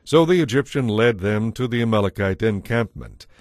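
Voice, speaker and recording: A man narrating a dramatized Bible as scripted storytelling, in a solemn, measured manner. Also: fairly low pitch, expressive, darkish tone, no room sound, studio quality.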